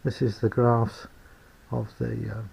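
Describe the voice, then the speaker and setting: A man gives an informal hands-on demonstration in a husky, creaky voice. He explains things slowly and hesitantly, with halting phrases. The recording sounds a little muffled. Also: mid-range voice, little pitch movement, a little mellow. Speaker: amateur radio hobbyist presenting a homemade build.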